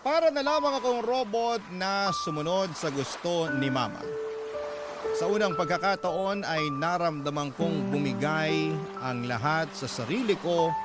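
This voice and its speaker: A man's voice: fairly high pitch, animated, unhurried. A man with a Filipino accent giving scripted, first-person dramatic narration as a radio storyteller. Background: loud music.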